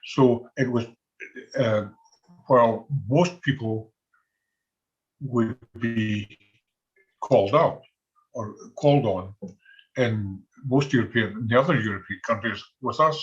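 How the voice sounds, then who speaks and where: An older Scottish man talks informally about history in a halting, conversational manner. His voice sits at a middling pitch, and the recording is dull and lo-fi. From Scotland. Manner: halting, conversational, chuckles often. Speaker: military historian and former soldier. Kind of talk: informal talk on military history. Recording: dull, lo-fi audio.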